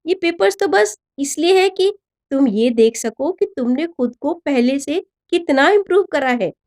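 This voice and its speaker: Lady narrator doing a kindly dad in a story, middle-aged, slow n reassuring. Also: high voice, lively intonation, pitched above the performer's own voice. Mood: gentle, reassuring. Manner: gentle, encouraging. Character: affectionate, reassuring middle-aged father of a teenager.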